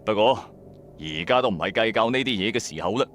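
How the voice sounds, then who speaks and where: A man's voice: lowish voice, expressive, fast, fairly bright tone, a little hiss or hum. A man narrating a horror story in the first person, in a colloquial, suspenseful way.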